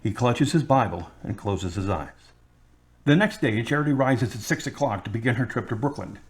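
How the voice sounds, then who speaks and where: Guy narrator for an audio drama, mid-pitched voice, reading stage action. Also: lively intonation, normal speed.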